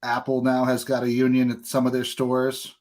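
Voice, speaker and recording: A man's voice: mid-range voice, completely flat delivery, normal speed, darkish tone. A man hosting a podcast interview, talking in an earnest, opinionated and discursive conversational way. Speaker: podcast host.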